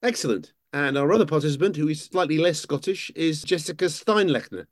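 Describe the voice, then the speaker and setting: A man with a somewhat high voice hosts the interview. He moves things along with brisk questions and the odd dry joke. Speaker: interviewer, host. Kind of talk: interview hosting.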